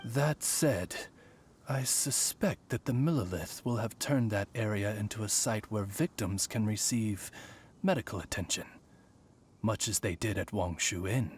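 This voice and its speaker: An actor playing a courteous, composed adventurer in a video game, speaking in a formal, measured way. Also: male voice, fairly low pitch, slight background noise, natural voice. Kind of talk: video game character acting.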